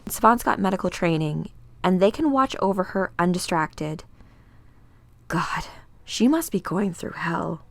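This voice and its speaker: Lady playing comic book ops boss woman, brisk but worried, wry, gravelly edge, dry recording. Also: fairly low pitch, lively intonation, dry recording, heavily acted. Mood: wry, concerned. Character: brisk, worried female superhero intelligence chief.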